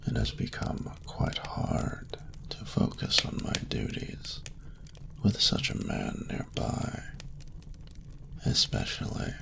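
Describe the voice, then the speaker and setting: A man voicing an ancient, courtly dragon ruler who speaks in a breathy, gravelly murmur with formal, doting phrasing, in an intimate romantic audio roleplay. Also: rumbling low, noisy background, heavily acted. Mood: seductive, longing. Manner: slow, formal, archaic, tender phrasing.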